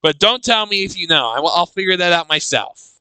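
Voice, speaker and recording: A man with a high, clean voice hosts a casual reaction video. His tone is very bright and the sound is dry and close. He thinks aloud haltingly, circling back over his own phrases. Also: expressive, clear, resonant tone, no echo, poor audio.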